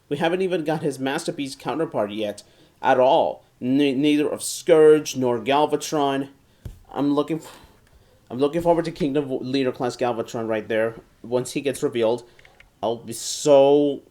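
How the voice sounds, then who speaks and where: Casting: male hobby reviewer, average pitch, enthusiastic but halting, repeats himself, casual unscripted delivery. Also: darkish tone. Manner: halting, often repeats and restarts phrases. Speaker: toy reviewer. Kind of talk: casual hands-on product review. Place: indoor room at home, seated at a table.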